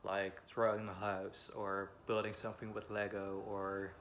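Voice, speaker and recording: Man in his twenties, Dutch accent, lowish voice, hesitant with many ums, soft road noise outside the house. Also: completely flat delivery, measured pace. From Netherlands. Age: 20s. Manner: hesitant and apologetic, frequent ums. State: a bit nervous. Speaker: vlogger. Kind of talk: personal storytelling to camera. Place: home beside a busy road. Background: faint wind and passing traffic.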